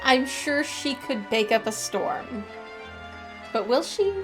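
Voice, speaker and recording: A woman's voice: high voice, lively intonation, slow pace. A woman hosting a gaming channel, giving casual let's-play commentary with chatty, colloquial asides. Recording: game music behind the voice.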